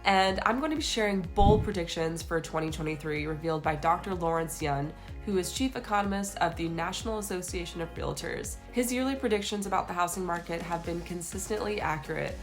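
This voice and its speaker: A woman's voice: on the low side, a little fast, few pauses. A young woman, a real estate agent, giving a scripted market update to camera, her voice nasal from a cold. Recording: faint music behind.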